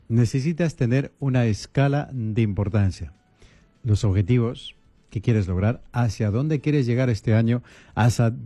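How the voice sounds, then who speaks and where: Priest guy on a radio talk show, super dark mellow voice, calm, dry sound, lists things over and over. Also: mid-range voice, dry recording. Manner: calm, repetitive listing, coaching tone.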